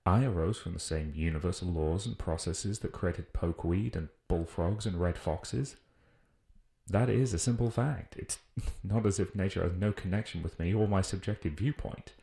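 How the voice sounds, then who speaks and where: A British man playing an earnest naturalist who muses formally and deliberately in a scripted audio drama field report. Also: low voice, gravelly, dark tone. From United Kingdom. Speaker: voice actor. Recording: roomy, echoing voice recording.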